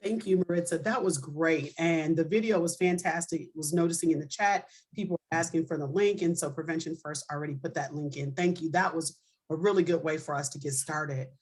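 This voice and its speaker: A woman's voice: deep voice, brisk, darkish tone, husky. A woman moderating a workshop on a video call, upbeat as she thanks the speaker and moves the talk along. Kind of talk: moderating a webinar.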